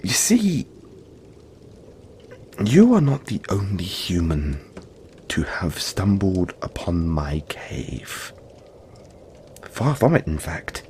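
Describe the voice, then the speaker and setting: A man playing a huge, gentle, cuddly yeti boy in an intimate audio roleplay, talking politely and haltingly to reassure the listener. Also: deep voice, big pitch swings, a little hiss or hum, heavily acted. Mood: gentle, explanatory. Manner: soft-spoken reassurance, halting, polite and chatty.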